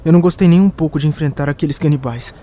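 Woman as a mellow-voiced, hopeful sci-fi traveller. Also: sits low, very fast, close to an everyday voice. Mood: hopeful, determined. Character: hopeful, determined traveller in a strange world. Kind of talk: audio drama acting.

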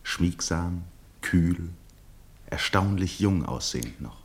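Very deep-voiced male narrator. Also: lively intonation, takes it slowly, creaky. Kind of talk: scripted radio play narration.

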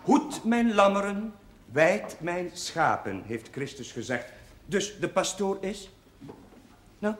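A lively young chaplain teaching. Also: male voice, high-pitched, slow pace. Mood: patient, instructive. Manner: schoolmasterly, questioning, calling for silence. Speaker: actor in a TV drama series. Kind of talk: scripted TV drama dialogue. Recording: noisy old TV soundtrack.

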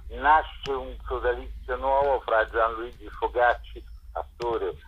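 A man's voice: medium pitch, fairly even intonation, slow, breathy edge. A man giving spontaneous interview answers as a theatre curator, hesitant and often searching for words, over a telephone. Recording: dull lo-fi telephone line.

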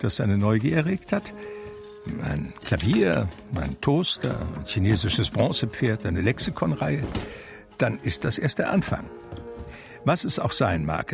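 A blind pianist looking back on his life in a radio drama. His voice is low and gravelly, wistful and measured, with music playing softly beneath the narration. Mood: reflective. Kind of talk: literary first-person radio drama narration.

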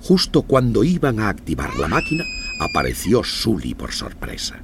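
A man narrating a children's story in an expressive, theatrical scripted style. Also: lowish voice, normal speed, vocal fry, background noise.

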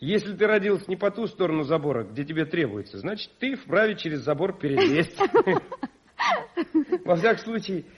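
Smitten young gentleman, lightish raspy voice, teasing, witty, radio drama. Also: high-pitched, huge pitch swings, moderate pace, full character voice. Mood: playful, teasing. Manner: teasing, chuckling, hesitant. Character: playful, smitten young English suitor, irreverent and witty. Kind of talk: 1980s Soviet radio play acting. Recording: muffled 1980s radio drama recording.

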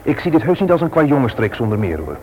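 An actor playing a stern, indignant brother-in-law in a Dutch radio play, emphatic and threatening when crossed. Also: male voice, mid-range voice, very animated, fast talker, phone-quality sound, natural voice. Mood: grave, indignant. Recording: very noisy old radio play recording.

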